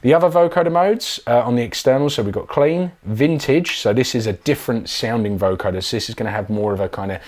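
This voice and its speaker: A man's voice: medium pitch, fast talker, hardly any pauses, darkish tone. A man presenting a casual, chatty product demonstration in an informal way.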